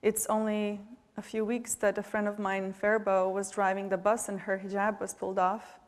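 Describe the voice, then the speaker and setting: Bosnian-accented woman, 40s, panel speaker, steady monotone delivery, heartfelt with many pauses, long hall reverb. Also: medium pitch. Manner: heartfelt, hesitant, many fillers and restarts. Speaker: panelist, nonprofit director. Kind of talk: earnest public remarks.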